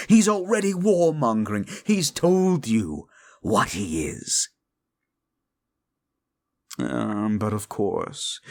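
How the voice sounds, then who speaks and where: A man's voice: mid-range voice, darkish tone. A man voicing a proud, scornful male demon of desire in an audio roleplay drama, who talks down to the listener with theatrical contempt.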